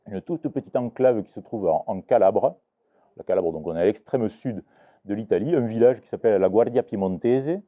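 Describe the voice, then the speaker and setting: Male lecturer, lowish voice, halting with restarts, tinny phone-like recording. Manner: hesitant, many restarts and fillers. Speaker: Occitan teacher giving a talk. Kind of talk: live lecture to an audience. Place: book fair talk.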